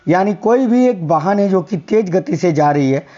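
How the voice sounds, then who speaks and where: A hoarse man teaching a quiz-style science lesson for exam prep, repeating each answer and telling viewers to remember it. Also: sits high, animated, normal speed, mellow, lo-fi sound. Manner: repeats answers, coaxing "याद रखिएगा".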